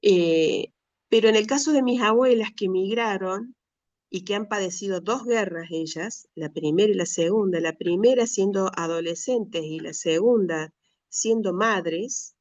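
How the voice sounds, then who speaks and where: A middle-aged Argentine woman speaks with earnest, measured reflection, pausing often and restarting her phrases. Her voice sits at an ordinary pitch. The sound is dry and a little dull, like a modest lo-fi recording. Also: darkish tone, no room sound. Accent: Argentine Spanish. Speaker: speaker, retired school director. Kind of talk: reflective personal talk.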